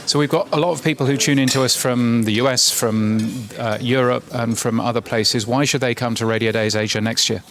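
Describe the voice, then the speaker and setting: A man's voice: mid-range voice, steady tone, brisk, hardly any pauses, fairly bright tone. Chatty male podcast interviewer. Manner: conversational, asks questions, restarts often. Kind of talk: conference interview.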